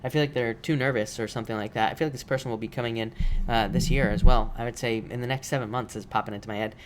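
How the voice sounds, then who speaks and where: A man with a voice made rough by allergies, reading tarot cards on a live stream in a casual, repetitive way with many fillers. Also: mid-range voice, fairly even intonation, quick pace, noisy background. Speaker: tarot reader.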